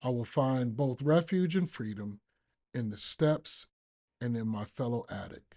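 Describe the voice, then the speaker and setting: A man reading a scripted daily reflection in a measured, deliberate manner with pauses between phrases. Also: mid-range voice, mellow. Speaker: podcast reader, recovering addict.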